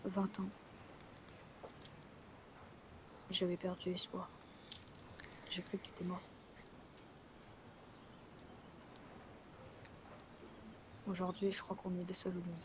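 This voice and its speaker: An amateur performer playing an anxious, weary zombie apocalypse survivor in an improvised game roleplay, speaking haltingly and repeating words. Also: medium pitch, completely flat delivery, background noise, natural voice. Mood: sombre. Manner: halting, repetitive.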